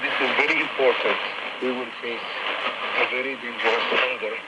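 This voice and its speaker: A man's voice: medium pitch, fairly even intonation, normal speed. A man in his forties with a Palestinian Arabic accent giving personal testimony and appeal in English, as a second-language speaker, over shortwave radio. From Gaza, Palestinian. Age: 40s. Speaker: aid agency manager giving testimony. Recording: muffled, very noisy shortwave radio reception. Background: heavy shortwave static.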